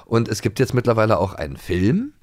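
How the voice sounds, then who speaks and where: A man from former East Germany co-hosting a podcast in relaxed, casual chat, often hesitating and restarting. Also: fairly low pitch, a little fast, no echo, clean studio sound. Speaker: podcast co-host.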